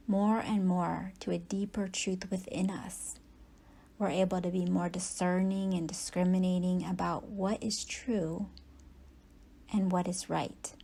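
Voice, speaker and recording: A woman meditation teacher leading a guided yoga nidra practice in soft, breathy, unhurried phrases with long pauses. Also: fairly low pitch.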